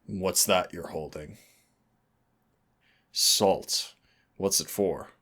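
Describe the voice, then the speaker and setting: A very low-voiced male demon, acted by a man in an audio roleplay. He drawls at a slow pace, sardonic and cocky, with a teasing, exasperated fondness for the human he trains. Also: a bit bright, full character voice. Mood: wary, incredulous. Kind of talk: scripted roleplay audio acting.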